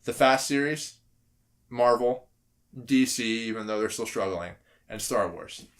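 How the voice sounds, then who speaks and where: A man on a podcast panel giving his opinions casually, in a halting way that repeats words as he thinks. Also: mid-range voice.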